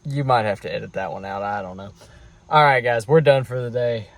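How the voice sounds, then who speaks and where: A man's voice: medium pitch, a little fast. An American man talking casually and thinking aloud as he hosts a hands-on truck tutorial in a folksy, informal way. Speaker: DIY truck vlog host.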